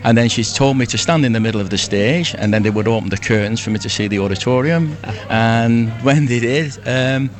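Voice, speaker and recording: A man's voice: medium pitch, brisk, few pauses. A man in his sixties with a Lancashire accent, chatting effusively as an interview guest and often repeating himself. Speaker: interview guest, amateur pantomime performer. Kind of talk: casual live radio interview.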